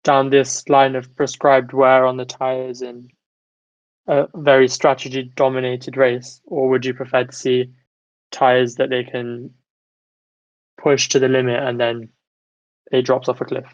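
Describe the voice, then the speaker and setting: A man hosts a sports podcast with a level, barely varying pitch. He argues his opinions conversationally and puts questions to the others. The recording is dry and slightly dull. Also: completely flat delivery, unhurried, dry recording. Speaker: podcast host steering discussion. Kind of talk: opinionated casual sports discussion.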